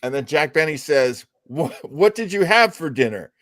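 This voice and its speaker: A man's voice: a little high, sing-song, normal speed, fairly bright tone, dry recording, clean studio sound. A man hosting a casual panel chat, explaining and retelling old comedy bits. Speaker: show host.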